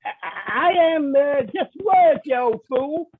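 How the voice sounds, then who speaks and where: A woman playing a mocking evil male wizard in a table read, slow and halting, with a husky voice and giggles. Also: high-pitched, expressive. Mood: scornful. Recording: muffled, tinny phone-like call audio.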